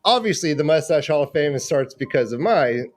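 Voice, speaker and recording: A man's voice: a little high, expressive, normal speed, no room sound. A man hosting a fantasy football livestream with casual, jokey banter and game previews, rambling and repeating phrases for emphasis.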